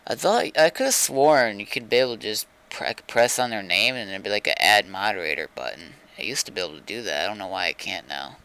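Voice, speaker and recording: A man with a deep, gravelly voice that comes through clearly. He talks casually on a game livestream. He tends to ramble and think out loud. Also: lively intonation, a little fast, hardly any pauses, poor audio. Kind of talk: casual livestream chat while gaming.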